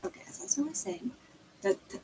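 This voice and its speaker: A woman's voice: very high voice, normal speed, mellow, slight background noise. A young woman chatting casually on a livestream in a hesitant, rambling way. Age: young adult.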